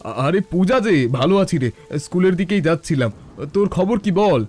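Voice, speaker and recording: A man's voice: sits high, animated, fairly quick, a little mellow. A man playing a kindly village schoolteacher. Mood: encouraging.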